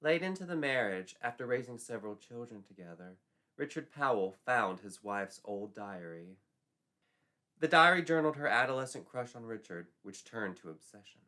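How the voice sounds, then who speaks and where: A man's voice: mid-range voice, animated, normal speed, bright, forceful tone. A man narrating a folklore tale as a storyteller, in a dramatic scripted style.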